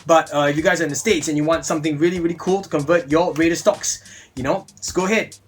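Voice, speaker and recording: Young male vlogger, slightly high voice, chatty and upbeat, desk camera, faint music under. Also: steady tone, fast talker. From Singapore. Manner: chatty and upbeat, repeats "really, really". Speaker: host of a toy blaster review channel. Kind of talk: casual product review vlog. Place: small indoor room, seated at a desk.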